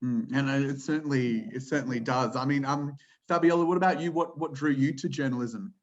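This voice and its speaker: Male interviewer, medium pitch, conversational and a little tentative, muffled call audio. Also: brisk.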